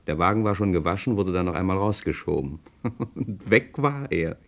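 An actor as a wry storyteller, narrating a radio play vividly in the present tense. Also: male voice, low voice, expressive, normal speed, fairly bright tone, slight background noise.

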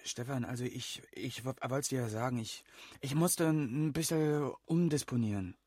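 An actor playing a resentful, sneering young small-time crook in a radio drama, with slangy, taunting, agitated talk. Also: male voice, mid-range voice, normal speed, a little mellow, no echo, studio quality, lightly acted. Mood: uneasy, defensive.

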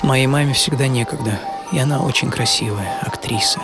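An actor playing a melancholy, bitter banker and mystic writer, telling his life in a theatrical radio monologue with dramatic pauses. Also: mid-range voice, creaky, natural voice. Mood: anxious, wistful. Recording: loud music under the voice.

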